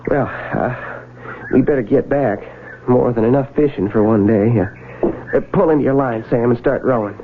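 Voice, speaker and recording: An actor playing a wary young Civil War recruit with a plainspoken, questioning drawl, heard on a tinny, noisy old radio broadcast. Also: male voice, medium pitch, normal speed, lightly acted. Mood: tense. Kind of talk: 1970s radio drama acting.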